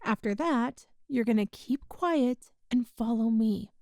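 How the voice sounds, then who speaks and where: A woman playing a kind, tough young rebel rescuer in an ASMR roleplay, with a folksy, hushed way of talking. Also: on the high side, takes it slowly, no echo, studio quality. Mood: urgent, reassuring. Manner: folksy, reassuring, hushed, uses "ain't".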